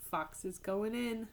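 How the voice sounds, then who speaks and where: A woman teacher reading a picture book aloud to children in an expressive storytelling style, with casual explanatory asides. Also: fairly high pitch, creaky, slight background noise. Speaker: third grade teacher reading to pupils.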